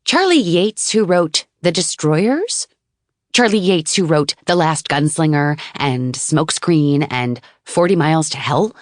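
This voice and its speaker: A woman's voice: low voice, expressive, unhurried, dry recording, clean studio sound. A woman playing an eager, incredulous female screenwriter in an audiobook, with punchy, emphatic delivery. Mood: stunned, awed.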